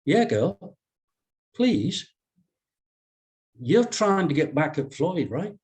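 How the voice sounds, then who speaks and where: A man's voice: a little high, very animated, very slow, a little mellow, full character voice. A man playing a slangy, streetwise young woman who coaxes and pleads with a friend in a screenplay read. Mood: insistent, coaxing. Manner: slangy, coaxing.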